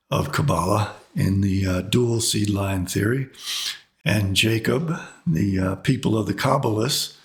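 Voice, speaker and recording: A middle-aged or older man with a slightly hoarse voice, giving an unscripted commentary monologue with halting, deliberate emphasis. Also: fairly low pitch. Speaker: host of an online commentary video.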